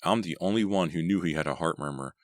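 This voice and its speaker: A man's voice: sits low, quick pace, no echo, lightly acted. A man reading a storybook, voicing an anxious teenage girl in a choked, strained voice. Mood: guilty.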